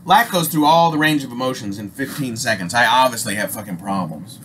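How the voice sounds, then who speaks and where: An American man tells an unscripted story in a mid-pitched voice over faint background noise. He rambles with plenty of slang and swearing, and delivers it all in an animated, casual way. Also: brisk. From Alaska, United States. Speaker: storyteller, host. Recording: noisy amateur recording with ambient sound.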